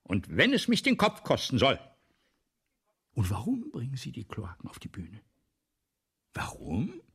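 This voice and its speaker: Husky, grandiose old writer. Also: male voice, medium pitch, wildly swooping pitch, fairly bright tone, exaggerated voice. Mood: proud, declaiming, then grandiose, musing. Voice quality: husky, breathy, often dropping to a whisper. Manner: theatrical, pompous, halting and repetitive. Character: vain, ailing, forgetful old French writer, grandiose and rambling. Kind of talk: 1970s German radio play acting.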